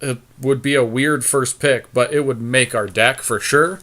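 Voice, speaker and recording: A man's voice: mid-range voice, measured pace, a bit bright. A man co-hosting a podcast, giving casual card game draft commentary and thinking aloud in an easygoing, tentative way. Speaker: podcast co-host.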